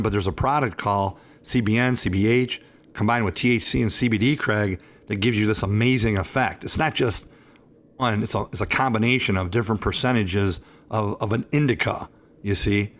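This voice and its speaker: Hoarse, rambling middle-aged man host. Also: on the low side, brisk. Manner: rambling, emphatic, self-assured. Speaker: attorney and show host. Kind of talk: casual radio talk show chat.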